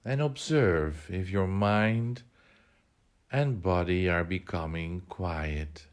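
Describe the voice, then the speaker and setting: A man with a somewhat low voice leads a guided meditation. His delivery is very slow and measured. He gives instructions in short phrases, pausing between them.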